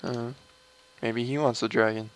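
A young man with a low voice, rambling casually through gameplay commentary at his computer. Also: fairly even intonation, slight background noise, rough recording. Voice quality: low, gravelly voice. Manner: slow, mumbly and rambling, trailing off. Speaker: gamer streaming a mining session.

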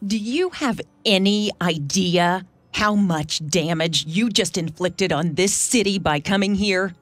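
Game role: actress as stern inventor wife, lowish female voice, cold and curt, faint ambience behind. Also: a little slow, slight background noise, close to an everyday voice. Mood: cold, scornful. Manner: curt, accusing. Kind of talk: video game voice acting.

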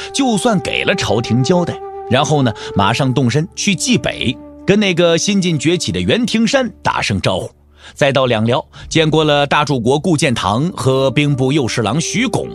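A man narrating a martial-arts audio drama in a theatrical, wry storytelling style. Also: fairly high pitch. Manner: theatrical, wry, with rhetorical questions.

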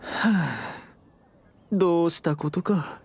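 A man's voice: a little high, takes it slowly, vocal fry, a little hiss or hum. A man voicing a sheepish, apologetic tavern-loving husband in an anime game, hesitant in his delivery. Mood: weary, hesitant. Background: music.

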